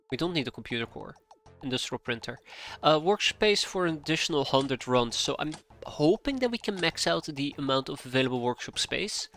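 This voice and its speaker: A man in his thirties talks through a live strategy game stream, stuffy-nosed with a cold. His voice is bright and forceful, sitting at an ordinary pitch for a man. He thinks aloud and wanders off track, with soft game music under him. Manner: rambling, thinking aloud, easily sidetracked. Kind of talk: live gameplay commentary, chatting with viewers.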